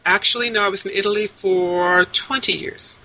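A woman in her sixties speaks over a video call, her voice very bright and sharp-edged. She answers in a measured, articulate way, stringing details into long lists. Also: mid-range voice, steady tone, rough recording. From United States. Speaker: interviewee. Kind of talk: casual interview answers.

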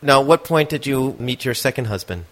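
A man's voice: medium pitch, lively intonation, a little fast. A man interviewing a guest over a video call, asking brief, plain follow-up questions.

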